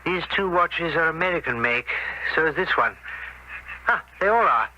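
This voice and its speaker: An actor playing a methodical, self-assured police inspector in a radio drama, with a deliberate, clipped official manner. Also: male voice, fairly high pitch, lively intonation, bright, forceful tone, lo-fi sound, full character voice. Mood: intent, examining. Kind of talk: 1970s radio drama acting. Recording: noisy old radio broadcast recording.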